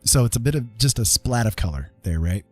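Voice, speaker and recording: A man's voice: medium pitch, lively intonation, a little fast, soft background music. A man talking casually and informally through a live digital art tutorial, often checking in with small questions as he goes. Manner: easygoing, informal, tags points with check-in questions. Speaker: digital art streamer and instructor.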